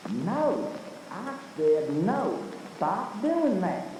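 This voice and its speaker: A man playing an angry, agitated middle-aged bed and breakfast owner in an audio drama, giving drawn-out commands. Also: high-pitched, sing-song, mellow, exaggerated voice. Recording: very echoey, long reverb, noisy.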